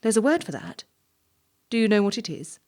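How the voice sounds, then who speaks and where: Cool, exacting woman interviewer played by an actress, animated intonation. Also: medium pitch, close to an everyday voice. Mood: probing, condescending. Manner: clipped, probing, condescending, deliberate. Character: cool, exacting female job interviewer, clinical and pedantic. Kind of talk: stage play dialogue.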